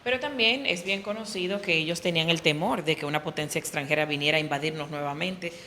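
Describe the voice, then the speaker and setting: Entrevistadora, voz algo grave, reacciones breves, leve ruido de fondo. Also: female voice, low voice, fast talker, bright, forceful tone, a little hiss or hum. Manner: short reactions and follow-up questions. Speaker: interviewer. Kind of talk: interviewing on a tour.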